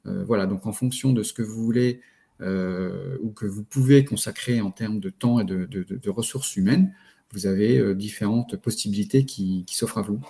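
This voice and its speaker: A man presenting a webinar in a didactic, halting manner, laying out points one by one. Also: on the low side, vocal fry, warm tone. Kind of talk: explanatory webinar talk.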